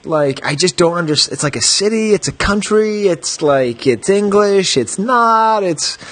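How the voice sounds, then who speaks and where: Rambling American podcast host, husky. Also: male voice, high voice, animated, a little slow, fairly bright tone. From United States. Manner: rambling, self-deprecating, chuckling. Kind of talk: casual podcast chat.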